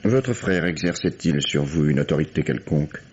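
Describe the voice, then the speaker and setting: A muffled vintage radio drama recording with a very low-pitched police commissioner. He is shrewd and authoritative, with a husky voice and a dry, wry, commanding manner. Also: expressive, normal speed, darkish tone, exaggerated voice. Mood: courteous, probing. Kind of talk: French radio mystery acting.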